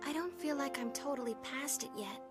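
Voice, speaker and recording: Woman acting a high-voiced earnest young American heroine. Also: brisk, close to an everyday voice. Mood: wistful, tender. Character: earnest, gentle young woman crewmate, devoted companion. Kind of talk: video game cutscene voice acting. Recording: music bed behind the voice.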